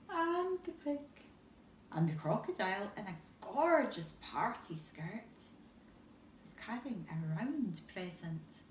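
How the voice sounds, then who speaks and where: A woman's voice: high-pitched, fairly bright tone. A woman, a teacher, reads a picture book to young children expressively, drawing out words and adding little asides. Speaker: teacher reading a bedtime story. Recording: roomy echo, distant microphone.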